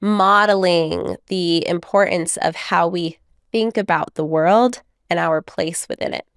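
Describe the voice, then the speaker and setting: A woman's voice: fairly low pitch, clear, resonant tone, no echo. Thoughtful woman guest, dry close sound. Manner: reflective, measured storytelling with light laughs. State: married mother. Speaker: guest author. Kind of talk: conversational podcast interview.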